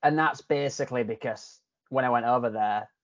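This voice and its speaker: A man's voice: mid-range voice, brisk, no room sound. Chatty Yorkshire man, dry lo-fi sound. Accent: Yorkshire with a Canadian tinge. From England. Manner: chatty, frequent restarts and swearing. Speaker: podcast guest. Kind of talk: casual podcast conversation.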